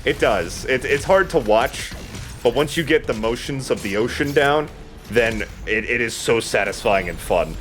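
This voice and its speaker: A man's voice: mid-range voice, expressive, normal speed, a bit bright, faint music behind. A man giving casual, chatty, self-deprecating commentary while he plays a video game as host. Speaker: let's play host playing the game.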